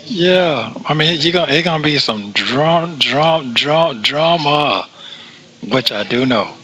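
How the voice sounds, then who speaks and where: A man's voice: fairly high pitch, expressive, slow, pushed and bright, breathy edge, poor audio. A man chatting casually on a personal call, repeating phrases for emphasis and stammering into his sentences. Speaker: caller on a prison call. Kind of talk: casual private phone chat.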